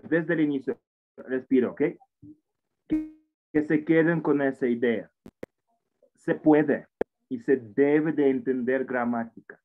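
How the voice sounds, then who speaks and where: A man in his forties with a foreign accent in Spanish, teaching an English class online in a patient, encouraging way that often repeats itself. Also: medium pitch. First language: not Spanish. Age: 40s. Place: indoor room at a computer. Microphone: computer mic on a video call. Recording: tinny phone-like online call audio.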